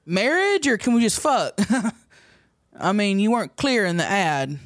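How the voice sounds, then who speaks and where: A man plays a cocky new-money woman appearing as a contestant in a comedy dating show. The voice sits very high and is recorded dry. The delivery is blunt, crude and full of casual slang. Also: sing-song, unhurried, fairly bright tone, no room sound, heavily acted. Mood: confused, blunt. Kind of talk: improvised comedy sketch.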